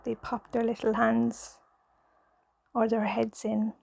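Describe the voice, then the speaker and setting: An Irish woman in her thirties with a husky voice, talking gently and hesitantly in a soft ASMR chat at home, into a clip-on mic. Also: fairly high pitch, completely flat delivery, takes it slowly. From Ireland. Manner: gentle, hesitant, nervous, with many fillers. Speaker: knitting ASMR creator, mother. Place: home, in pajamas. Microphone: clip-on mic on pajamas.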